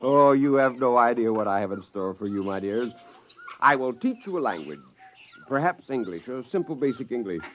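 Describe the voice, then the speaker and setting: An American actor playing a middle-aged, gleefully eccentric scientist in a theatrical 1950s radio drama. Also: male voice, mid-range voice, lively intonation, moderate pace, slight background noise, close to an everyday voice. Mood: gleeful, scheming. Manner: theatrical, chuckling, self-amused. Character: eccentric, gleeful scientist plotting a joke on mankind. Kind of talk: 1950s radio drama acting with first-person narration. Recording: dull, lo-fi 1950s radio recording.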